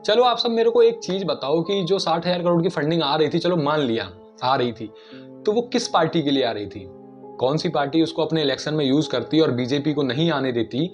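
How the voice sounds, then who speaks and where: A man speaks in a slightly high voice, explaining and arguing in a casual video-essay style. He often puts questions straight to the listener. The recording is somewhat dull and lo-fi, with music playing underneath. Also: animated, fairly quick. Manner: argumentative, poses rhetorical questions to listeners. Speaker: video commentator. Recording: dull lo-fi audio, music behind. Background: background music.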